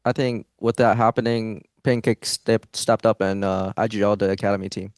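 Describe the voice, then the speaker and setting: A man's voice: on the low side, flat delivery, normal speed, darkish tone, clean tone, dry recording, studio quality. A young man, a pro esports player, answering interview questions in a hesitant way, with many fillers and restarts. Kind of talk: post-match interview answers.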